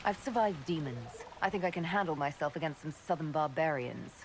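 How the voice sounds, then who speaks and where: A woman playing a composed, earnest elf heroine in a video game, speaking calmly and directly. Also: sits low, a little fast, a little hiss or hum. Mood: composed, resolute. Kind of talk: video game character acting.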